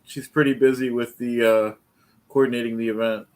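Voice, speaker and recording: A man with a Northern American accent hosts a relaxed pre-show, talking in an easy, laid-back way. His voice is mid-pitched, picked up through a video-call setup. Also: moderate pace. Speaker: livestream host. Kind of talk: casual chat on a video stream.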